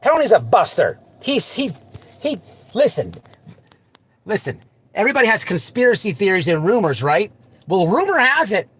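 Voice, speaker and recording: A man hosting an unscripted livestream, talking bluntly and repetitively to his viewers, with halting false starts. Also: sits high, fairly bright tone, slightly rough, no echo. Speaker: livestream host.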